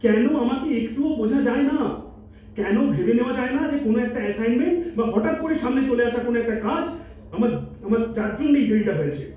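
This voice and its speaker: A man's voice: very high-pitched, quick pace. A man playing an exasperated, defensive boyfriend in a melodramatic stage audio drama. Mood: pleading. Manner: pleading, defensive, indignant. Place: multipurpose hall stage. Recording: distant echoey hall, thin phone-like, faint noise.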